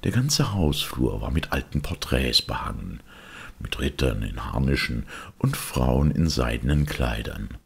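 Male audiobook reader, extremely low and raspy, expressive fairy-tale narration. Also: unhurried.

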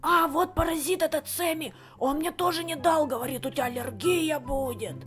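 Cheeky male cartoon devil, brash, boastful, high voice, a woman voicing him. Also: moderate pace, soft background music, lightly acted.

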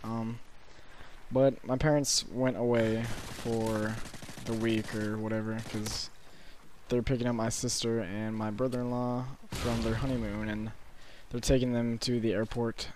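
Hoarse eighteen-year-old man rambling. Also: mid-range voice, flat delivery, background noise. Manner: rambling, halting with many fillers. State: hoarse, sore throat. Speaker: gaming commentator. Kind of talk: casual gameplay commentary. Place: bedroom at night, alone.

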